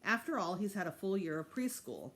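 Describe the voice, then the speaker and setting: A woman's voice: medium pitch, big pitch swings, fairly quick. A woman voicing a patient, reassuring mother in a storybook, coaxing and placating her child. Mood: upbeat, reassuring.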